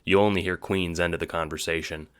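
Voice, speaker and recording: A man giving a scripted, dramatic narration for an audio drama, with deliberate phrasing. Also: low voice, fairly even intonation, fairly bright tone, smooth.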